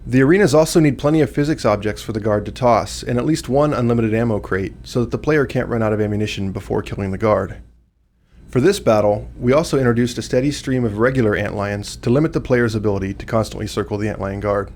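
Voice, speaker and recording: A man with a slightly low voice gives scripted commentary. He sounds like a developer explaining requirements from prepared notes. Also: quick pace, darkish tone. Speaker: game developer.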